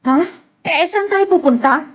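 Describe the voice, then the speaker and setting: An actress with a Filipino accent playing a caring, feisty aunt and nurse in an expressive melodrama. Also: female voice, high-pitched, unhurried, muffled recording, slight background noise. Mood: puzzled.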